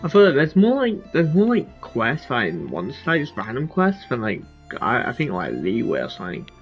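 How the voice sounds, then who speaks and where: A man's voice: mid-range voice, wildly swooping pitch, normal speed, faint music behind. A man doing casual gameplay commentary, rambling and thinking aloud. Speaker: game streamer.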